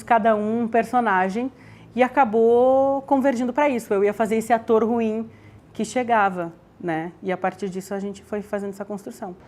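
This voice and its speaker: A woman's voice: mid-range voice, darkish tone. A young woman speaking informally in an interview as an actor. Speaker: actor in a student theatre production.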